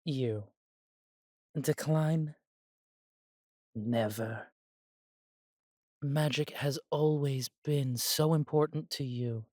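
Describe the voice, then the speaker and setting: An unhurried man playing an elf brother from a fantasy audio drama, recorded dry and close. He is warm and humble, devoted to his family, and phrases things a little formally with thoughtful pauses. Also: medium pitch, no room sound. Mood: warm, reassuring. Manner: measured, slightly formal phrasing with sighs and pauses. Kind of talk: scripted fantasy audio roleplay.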